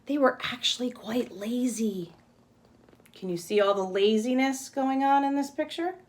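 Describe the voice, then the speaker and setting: A woman's voice: a little high, moderate pace. Woman teacher explaining simply to young children. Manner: explains simply, asks rhetorical questions, checks understanding. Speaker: kindergarten teacher. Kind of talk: children's lesson and picture-book read-aloud.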